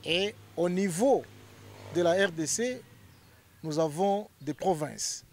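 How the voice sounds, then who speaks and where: A man's voice: sits high, lively intonation, takes it slowly, slight background noise. A man from Congo, a lawyer, explaining his association in an interview, hesitant with many fillers and growing more emphatic.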